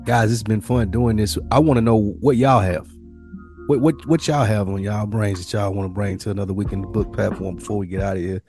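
A man's voice: fairly low pitch, steady tone, brisk, darkish tone, soft background music. An American man hosting a casual podcast among friends, talking in loose, profane slang. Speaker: podcast host.

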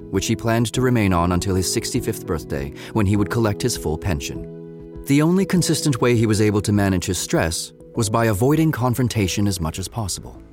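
A wry storybook-style narrator in a lowish voice with lively pitch movement, music playing clearly behind him. He tells the audio drama's story in a measured, matter-of-fact way.

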